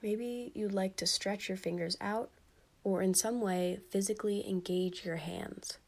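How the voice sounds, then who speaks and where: A woman with a mid-range voice guides a bedtime meditation. She reads gently and soothingly, in short measured phrases. Pauses separate her instructions. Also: medium pitch, steady tone. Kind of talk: guided sleep meditation, scripted.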